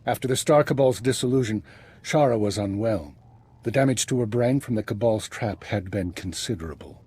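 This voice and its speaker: An actor playing an older, retired spymaster in a video game, composed and dry, with measured formal phrasing. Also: male voice, on the low side, warm tone, slightly rough. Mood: grave.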